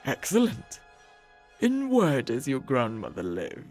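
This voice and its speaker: Sly, gravelly big bad wolf. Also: female voice, extremely low pitch, wildly swooping pitch, slow pace, darkish tone, soft background music, voice pushed down, full character voice. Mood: sly, coaxing. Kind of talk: children's audio fairy tale.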